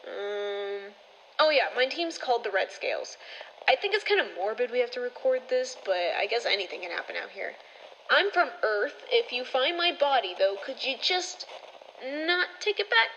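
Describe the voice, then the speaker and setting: Scrappy flippant space girl, high sharp voice, slangy, audio drama. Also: big pitch swings, normal speed, dull audio, a little hiss or hum, full character voice. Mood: flippant, wistful. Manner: offhand, slangy. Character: scrappy, irreverent young spacefarer named Kraken, flippant.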